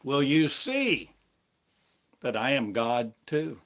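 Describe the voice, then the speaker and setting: A man preaching a prophetic sermon, speaking slowly and solemnly in the voice of God, with long pauses between phrases. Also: mid-range voice, big pitch swings, fairly bright tone.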